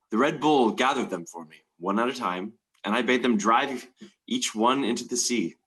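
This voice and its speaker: Haughty old fantasy tyrant played by a man, low voice, grand and menacing stage delivery. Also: fairly bright tone, poor audio. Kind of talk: dramatic table read of a stage play.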